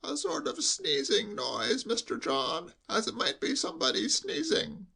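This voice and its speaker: A bleating old butler. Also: male voice, wildly swooping pitch, creaky, slightly rough, exaggerated voice. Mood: timid, confiding. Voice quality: high bleating quaver. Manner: slow, deferential, hushed. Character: old male butler, bleating, timid and wordy.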